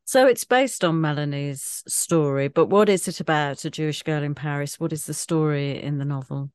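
A radio host putting measured, inquisitive questions to an author guest. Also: medium pitch, clear, resonant tone. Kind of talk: interview questions.

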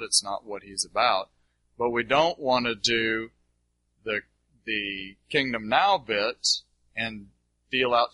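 A man's voice: mid-range voice, pushed and bright, no room sound, rough recording. A man answering an audience question as a Bible teacher, unscripted and emphatic, repeating phrases to drive points home.

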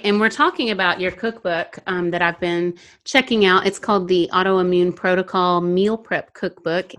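A woman's voice: mid-range voice, fairly bright tone. A woman hosting a podcast, introducing and questioning her guest with enthusiastic praise. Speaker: podcast host. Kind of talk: interviewing a guest.

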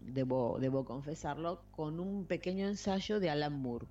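A woman's voice: sits low, moderate pace, warm tone, slight background noise. A woman with an Argentine accent chatting casually about books as a podcast co-host. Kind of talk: casual book chat. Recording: slightly muffled lo-fi audio.

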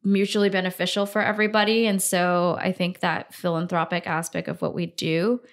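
A woman's voice: on the low side, flat delivery, brisk. A woman in her thirties from Singapore, a brand founder talking enthusiastically in a podcast interview. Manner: enthusiastic, upbeat, frequent fillers.

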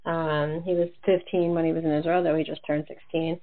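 A woman's voice: deep voice, level tone, fairly quick. A woman in her forties hosting a casual podcast chat, talking loosely with lots of fillers and laughter. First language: English. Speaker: podcast host. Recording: tinny phone-like line.

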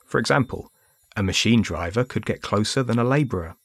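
Male narrator, husky voice, low pitch, dry close sound, faint music. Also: a little fast, fairly bright tone, no echo. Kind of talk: scripted documentary narration.

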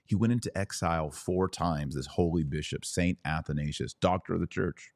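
A man's voice: deep voice, normal speed, darkish tone, no room sound, studio quality. A man hosting a podcast, delivering a fervent religious monologue in an emphatic, exhorting manner with rhetorical questions and repetition. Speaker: podcast host.

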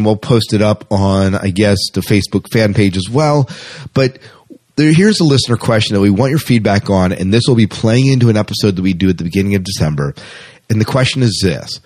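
Casual low-voiced male podcast host. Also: darkish tone. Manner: informal, rambling, conversational.

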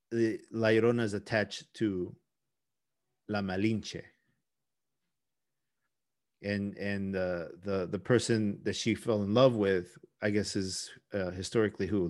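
A man's voice: lowish voice, darkish tone. A man co-hosting a podcast, discussing folklore casually, often circling back and repeating words as he thinks. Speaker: podcast co-host.

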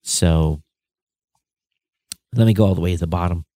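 A man's voice: sits low, normal speed, dark tone, no room sound, studio quality. A man co-hosting a podcast, chatting casually and reading out figures off the cuff. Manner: chuckles often, reads out numbers off the cuff. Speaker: podcast co-host. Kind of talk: casual chat about industry figures.

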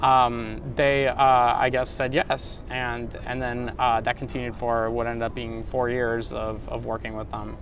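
A man's voice: medium pitch, flat delivery, unhurried, hardly any pauses, a bit bright. A man in his thirties who grew up in Canada tells his life story in a loose, chatty way. He fills his sentences with "like" and "um". Faint traffic hums in the background. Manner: casual, many fillers and likes. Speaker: founder and CEO guest. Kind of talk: casual storytelling interview. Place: conference venue.

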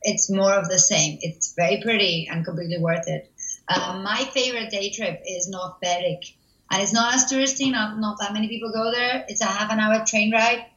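A woman's voice: mid-range voice, fairly even intonation, brisk, a bit bright. Woman, enthusiastic travel tips, lots of "like", echoey room, dull lo-fi sound. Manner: enthusiastic, uses "like" often. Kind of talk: casual travel recommendations. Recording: roomy, dull lo-fi audio.

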